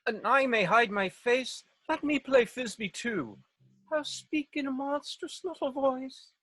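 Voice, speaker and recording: A woman's voice: high voice, expressive, slow pace, a bit bright, breathy edge, no echo, full character voice. A woman playing a self-important, overeager male weaver in a Shakespeare read, declaiming theatrically. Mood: showing off. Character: Nick Bottom, a bombastic, overeager male weaver and amateur actor. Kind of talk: Shakespeare table read over video call. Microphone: video call, close.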